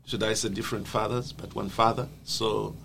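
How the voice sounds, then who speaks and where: A man's voice: lowish voice, fairly even intonation, moderate pace, vocal fry, a bit bright. A man with a Zambian accent, earnest and thoughtful as he tells his life story in an interview. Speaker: guest. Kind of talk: personal life story in a conversation. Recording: noisy background.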